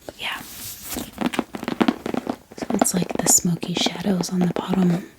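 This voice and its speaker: A woman speaking in a hushed, breathy whisper, gently explaining things in an ASMR style. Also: on the low side, little pitch movement, low-quality recording. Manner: soft, hushed, unhurried explaining. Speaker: ASMR book haul host. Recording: clear background hiss, handling clicks.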